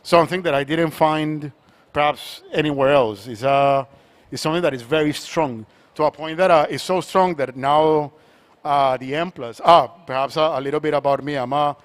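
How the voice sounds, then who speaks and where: A man from Colombia, an art collector speaking on a panel, talking in a halting way with many repeated words and restarts. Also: a little high. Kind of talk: panel conversation.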